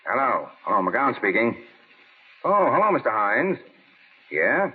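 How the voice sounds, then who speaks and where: An actor playing a gruff, pushy big-city newspaper editor with a husky voice in old radio style. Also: male voice, medium pitch, very animated, a little slow. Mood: urgent, pushy. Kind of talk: 1930s-40s radio drama acting. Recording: muffled old radio broadcast.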